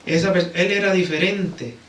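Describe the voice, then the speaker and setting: A man's voice: high voice, fairly even intonation, moderate pace, fairly bright tone. A man with a Puerto Rican accent preaching conversationally in a church, often checking for agreement with rhetorical questions. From Puerto Rico. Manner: repeatedly seeks agreement with rhetorical tag questions. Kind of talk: conversational sermon. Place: church sanctuary. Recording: roomy, distant mic, background noise.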